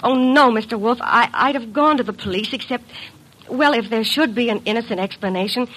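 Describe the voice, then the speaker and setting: An actress playing an earnest, worried young secretary in a classic radio drama, speaking politely and hesitantly. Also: female voice, fairly high pitch, brisk, fairly bright tone, slightly rough, rough recording. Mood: pleading, disappointed.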